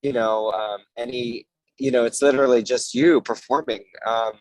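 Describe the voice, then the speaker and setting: A man's voice: mid-range voice, steady tone, moderate pace, a little mellow. Guy director on a video call, chatty, loose wording, appreciative, no room echo. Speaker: theater director guest. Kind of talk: casual panel discussion.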